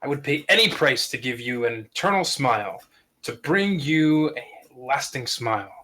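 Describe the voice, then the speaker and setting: A man's voice: medium pitch, lively intonation, moderate pace, sharp tone, a little hiss or hum. A man playing a devoted fatherly dragon in a fantasy script table read, with earnest, grandiose storybook phrasing. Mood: tender, earnest.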